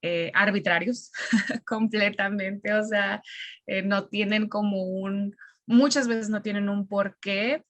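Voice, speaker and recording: A woman with a Mexican accent, a language teacher explaining things reflectively in a conversational interview, pausing as she searches for words. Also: mid-range voice, steady tone, a bit bright, no room sound.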